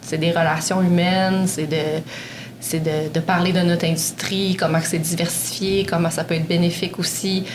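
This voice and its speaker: A woman with a Quebec French accent, speaking haltingly as a panel guest with many fillers and repeats. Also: fairly low pitch, flat delivery. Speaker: panel guest, community relations director. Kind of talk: conversational interview. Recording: noisy room recording.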